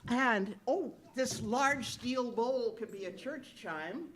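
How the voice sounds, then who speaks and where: A woman's voice: fairly high pitch, takes it slowly, a bit bright, soft background music. A woman presenting live children's audio theater about sound effects, instructing a young audience. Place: live library event before an audience.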